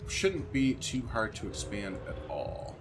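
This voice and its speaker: Low-voiced, very animated male gamer. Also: a little slow, over music. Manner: informal, slangy, thinking aloud. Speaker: gaming video host. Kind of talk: casual let's-play commentary.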